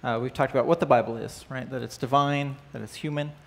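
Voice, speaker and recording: A man's voice: medium pitch, steady tone, quick pace, creaky. A man, teaching a church class in a casual, conversational way, often checking in with listeners. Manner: conversational, asks the class questions, frequent "right?" and "uh". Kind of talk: church class lesson with opening prayer. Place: church stage with band gear, indoors.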